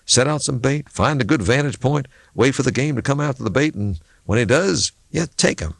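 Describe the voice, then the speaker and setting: Sardonic, folksy backwoods man, pitched up by a male reader. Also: lively intonation, brisk, vocal fry, no echo, clean studio sound. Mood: sarcastic, pressing. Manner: folksy, needling rural speech. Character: unruffled, sardonic backwoods man, insistent and preachy. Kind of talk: audiobook character dialogue.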